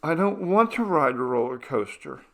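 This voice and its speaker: A man voicing a practical, careful teenage girl in a story reading, measured and matter-of-fact. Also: fairly high pitch, sing-song, a bit bright, voice pushed up. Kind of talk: storybook character voice.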